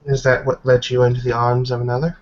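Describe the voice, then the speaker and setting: A man voicing a stern, composed elderly matriarch in a tabletop roleplay, speaking in a calm, formal, measured way. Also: medium pitch, lightly acted. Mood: cool interrogation. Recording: dull, noisy online call audio.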